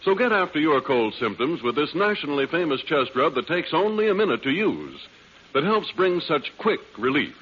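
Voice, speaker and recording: A man's voice: on the high side, very animated, normal speed, breathy edge. A male announcer reading a 1940s radio advertisement with a polished, emphatic sales delivery. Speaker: commercial announcer. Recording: muffled, hissy vintage radio recording.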